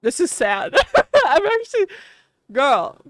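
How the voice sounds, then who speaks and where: A woman's voice: high voice, very animated, takes it slowly, no room sound, clean studio sound. A woman from Wisconsin with a Wisconsin accent, chatting casually while streaming a game, laughing often and saying "like" a lot. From Wisconsin, USA. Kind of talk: casual livestream chat.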